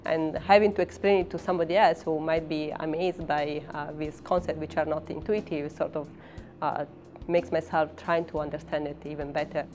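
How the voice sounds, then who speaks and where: Low-pitched Italian-accented woman, hesitant. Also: steady tone, moderate pace, darkish tone, soft background music. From Italy. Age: 30s. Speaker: professor and research scientist. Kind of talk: interview talk about own work.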